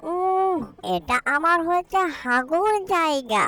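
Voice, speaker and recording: A woman voicing a cheeky, stubborn little village boy in a cartoon, childlike and expressive. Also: extremely high pitch, slow pace, heavily acted. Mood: stubborn, defiant. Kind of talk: cartoon voice acting.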